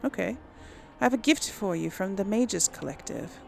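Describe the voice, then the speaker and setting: Female playthrough host: mid-pitched, gravelly edge, hesitant thinking-aloud delivery, slight noise on a home recording. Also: animated, moderate pace. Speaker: gaming video host. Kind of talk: casual game playthrough commentary.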